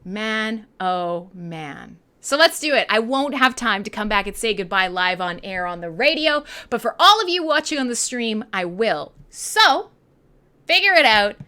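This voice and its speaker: Female radio host, Canadian accent. Higher voice, very bright and forceful, animated, slangy, irreverent live chat. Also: a little slow, clean tone.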